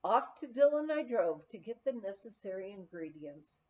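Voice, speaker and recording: A woman reading a memoir aloud in an expressive storytelling style. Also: medium pitch, moderate pace, dull audio, a little hiss or hum. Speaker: audiobook reader.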